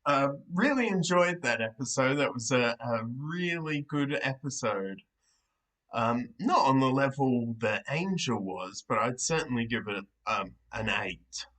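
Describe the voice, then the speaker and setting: A man's voice: mid-range voice, animated, a little slow, fairly bright tone. A young man giving a casual episode review as a reaction host, thinking aloud with some hesitation. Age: young adult. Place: small living room, indoors.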